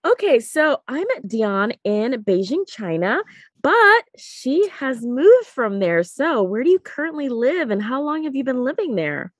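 Casual higher-voiced woman podcast host. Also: big pitch swings, a little slow, no room sound, studio quality. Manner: informal, chatty, affirming. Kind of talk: casual conversational interview.

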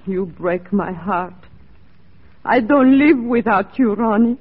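A woman's voice: medium pitch, takes it slowly, slightly rough. An actress playing a jealous, lovestruck young frontier woman in broken English, pleading and goading in 1940s radio style. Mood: passionate, pleading. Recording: tinny, band-limited 1940s radio recording.

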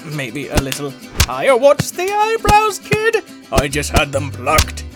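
A man's voice: on the high side, huge pitch swings, measured pace, over loud music. A man voicing a vain, menacing farm cat in a children's story, with a sneering, taunting drawl. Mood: embarrassed, pained. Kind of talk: acted children's story narration.

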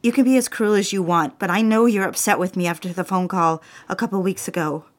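A woman's voice: medium pitch, a little fast, dry recording, lightly acted. An actress playing a proper, wounded young secretary, speaking earnestly and primly in an audio drama and confiding her story. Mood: hurt, pleading. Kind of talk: dramatic scripted narration and dialogue.

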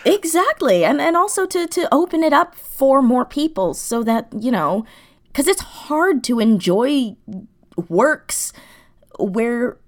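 A woman's voice: sits high, sing-song, slow pace. A woman being interviewed in a casual, reflective way, thoughtful and often correcting herself as she searches for words. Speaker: audio drama creator being interviewed.

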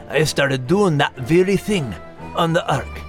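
An actor playing a cheeky, good-hearted Scottish terrier who co-hosts a scripted podcast, with a Scottish accent. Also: male voice, a little high, lively intonation, takes it slowly, faint music behind. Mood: earnest. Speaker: co-host in character. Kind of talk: scripted comic podcast banter.

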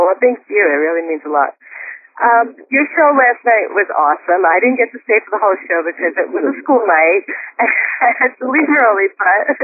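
A woman's voice: on the low side, a little hiss or hum. Bubbly woman host on a tinny line. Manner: bubbly, enthusiastic, giggly. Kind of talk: casual interview chat. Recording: tinny phone-line audio.

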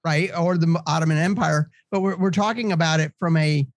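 A man's voice: fairly high pitch, fairly even intonation, a little fast, dry recording, studio quality. An American man with a congested nose hosts a casual co-hosted discussion in a jokey, rambling way. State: congested from allergies. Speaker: podcast host.

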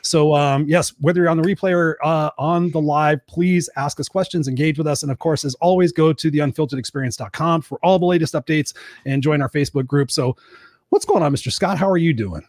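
A man's voice: on the high side, fairly quick, darkish tone, no echo, clean studio sound. An American man co-hosting a casual livestream talk show with exuberant, informal banter and emphatic greetings.

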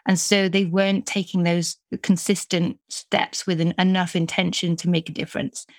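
A woman's voice: lowish voice, flat delivery, moderate pace, dry recording. A middle-aged British woman from the UK, a business coach talking casually in an interview, chatty with frequent restarts. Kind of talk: conversational interview.

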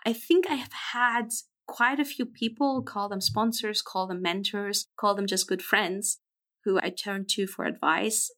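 A woman's voice: medium pitch, fairly even intonation, measured pace, fairly bright tone. Earnest young woman in her twenties. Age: 20s. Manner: earnest, frank, reflective. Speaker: podcast guest. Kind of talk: casual interview conversation.

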